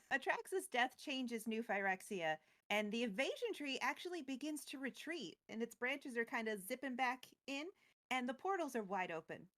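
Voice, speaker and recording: A woman with a slightly high voice retells a story as co-host of a recap show. She is casual and chatty. Her asides are playful and wry. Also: lively intonation, normal speed, fairly bright tone.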